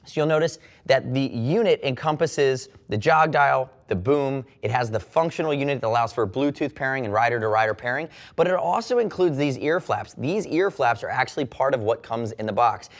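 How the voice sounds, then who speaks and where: A man's voice: medium pitch, animated, fairly quick, hardly any pauses. A man giving an unscripted, conversational product review, explaining as he goes and repeating key points for emphasis. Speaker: product reviewer and presenter for a motorcycle gear retailer.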